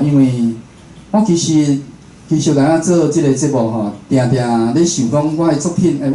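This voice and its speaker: Taiwanese guy doing a casual lecture, soft dark tone, roomy echo w/ background noise. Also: mid-range voice. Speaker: radio station director and program host giving a talk. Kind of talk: informal public lecture to an audience. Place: indoor talk venue with a live audience. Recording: echoey room, clear background noise.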